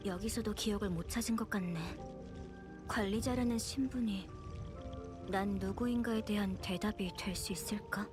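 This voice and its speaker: A woman's voice: medium pitch, very fast, over music, close to an everyday voice. An actress playing a searching young woman with amnesia in scripted video game dialogue, introspective yet determined. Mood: pensive, troubled.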